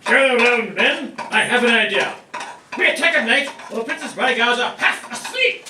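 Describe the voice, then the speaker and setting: A man's voice: very high voice, moderate pace, noisy background. A man playing a bold outlaw leader. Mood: rousing. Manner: rousing, commanding, gives orders. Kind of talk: live amateur stage radio play. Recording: roomy hall from far off, with music.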